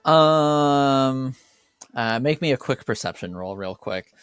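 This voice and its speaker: Slow, halting male game master, clear voice. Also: medium pitch, lively intonation, no room sound. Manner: halting, searching for words. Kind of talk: improvised tabletop game narration. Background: background music.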